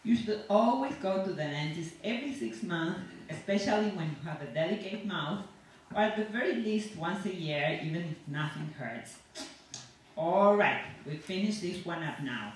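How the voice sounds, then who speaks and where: Female reader as rambling dentist, falsely reassuring and grumbling; animated pitch, echoing live room. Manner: rambling, falsely reassuring, hesitant non-native English. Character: chatty, grumbling, blithely menacing dentist. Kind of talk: dramatic monologue read from a short story. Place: large indoor venue at a university. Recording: echoey, distant, noisy live room.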